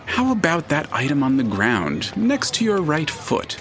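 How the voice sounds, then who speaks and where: A man acting in an audio drama as a detached, formal narrator who speaks deliberately and matter-of-factly. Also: fairly high pitch, wildly swooping pitch, fairly bright tone, a little hiss or hum, full character voice. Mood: cool, formal.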